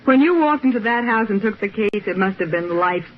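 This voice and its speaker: An actress playing a curious, eager detective's assistant in a 1940s radio drama, inquisitive and animated. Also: female voice, medium pitch, a little fast, close to an everyday voice. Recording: muffled, noisy old radio recording.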